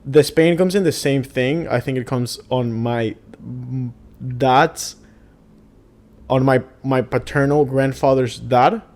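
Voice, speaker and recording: A man's voice: mid-range voice, slow, a little mellow. A man, a podcast guest chatting casually and rambling with lots of fillers. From Venezuela. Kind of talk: casual interview chat.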